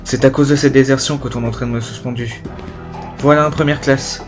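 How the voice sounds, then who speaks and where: A man's voice: medium pitch, fairly even intonation, brisk, over music, close to an everyday voice. A man voicing a friendly soldier comrade, informal and chatty, in an amateur video game dub. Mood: concerned, gossipy. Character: friendly male soldier comrade passing on news.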